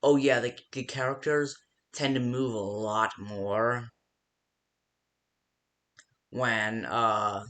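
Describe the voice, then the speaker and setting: A man playing a rambling, hesitant phone guide who briefs a night guard in a game, with a gravelly voice. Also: lowish voice, fairly bright tone. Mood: casual, louder. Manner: hesitant, rambling, full of stops and second thoughts. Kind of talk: improvised-sounding instructional phone call for a game.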